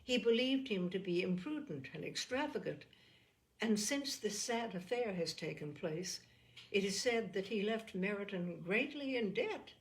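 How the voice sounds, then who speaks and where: A woman voicing a gentle, anxious, forgiving young sister, earnest and measured, in a storybook reading. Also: mid-range voice, expressive, poor audio. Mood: earnest, regretful.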